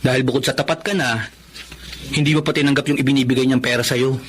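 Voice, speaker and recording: A man's voice: medium pitch, fairly even intonation, fast talker, slight background noise, natural voice. A man acting a chatty, easygoing waiter friend with a gossipy, breezy manner in a Filipino radio drama. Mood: excited, teasing.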